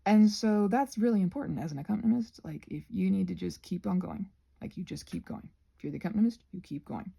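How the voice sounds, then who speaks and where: Husky, creaky woman rambling casually. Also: mid-range voice, fairly quick, warm tone. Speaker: craft vlogger. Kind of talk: casual rambling chat while painting.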